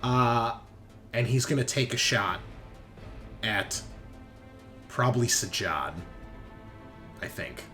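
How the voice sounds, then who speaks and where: Lively man running a tabletop game. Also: mid-range voice, slow, long pauses, soft background music. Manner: informal, profane, conversational. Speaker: game master. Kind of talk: casual tabletop game narration.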